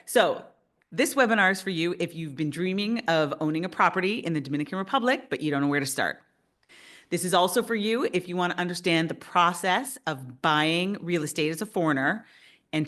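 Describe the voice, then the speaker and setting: A woman's voice: lowish voice, fairly quick, a bit bright. A woman in her fifties from Canada, presenting a webinar as a realtor in an upbeat, enthusiastic way.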